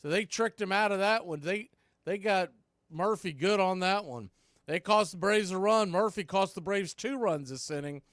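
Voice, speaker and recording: A man's voice: sits high, moderate pace, fairly bright tone, no echo, clean studio sound. A man doing live play-by-play baseball commentary as a radio host.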